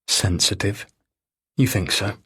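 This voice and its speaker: A man's voice: low voice, steady tone, slow, sharp tone, husky, no room sound, exaggerated voice. A man voicing a tense, controlling husband and father, terse and insistent. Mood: defensive.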